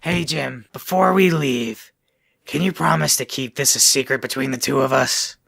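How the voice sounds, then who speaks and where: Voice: medium pitch, moderate pace, creaky, a bit bright, no echo, clean studio sound. An actor playing Abby, a plucky, protective wasteland survivor with a casual, wry, foul-mouthed way of talking in a comedy radio play. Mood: wry, teasing. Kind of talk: post-apocalyptic comedy radio play acting.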